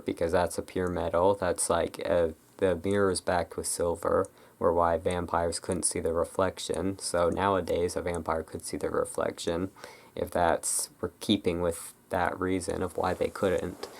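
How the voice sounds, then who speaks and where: ASMR host: deep, crackly register, unhurried and musing, improvised rambling. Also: gravelly. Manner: relaxed, rambling, halting and musing. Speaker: ASMR video creator. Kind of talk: ASMR, unscripted rambling about folklore.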